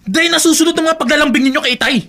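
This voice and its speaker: Bright-voiced, reproachful Filipino elder brother. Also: male voice, very high voice, rapid-fire, heavily acted. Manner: earnest, scolding. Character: responsible, reproachful eldest brother, dutiful son. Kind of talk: Filipino radio drama acting.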